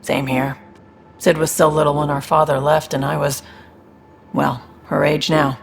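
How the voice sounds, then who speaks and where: A man's voice: on the high side, little pitch movement, a little slow, gravelly, a little hiss or hum. An actor playing a guarded, world-weary man in a video game, plain-spoken and wry. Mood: protective, grim. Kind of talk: naturalistic video game acting.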